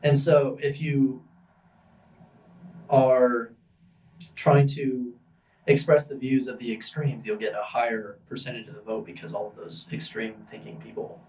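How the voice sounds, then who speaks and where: Young male student co-host, easygoing, slangy, thinks aloud, mid-pitched, mic set a little back. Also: level tone, a little slow, pauses often, darkish tone, recorded from a distance, poor audio. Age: young adult. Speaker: podcast co-host, university student. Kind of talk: casual chat.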